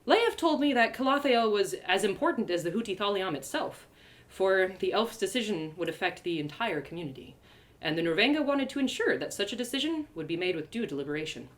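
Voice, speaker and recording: A woman storyteller narrates a fantasy tale in the first person. Her delivery is theatrical and expressive, with a lively pitch that rises and falls to shape each phrase. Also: fairly quick. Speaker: author reading her story aloud.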